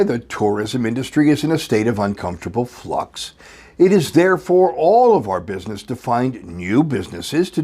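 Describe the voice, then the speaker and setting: Male news anchor, scripted read, measured and expressive, pitch moving a lot.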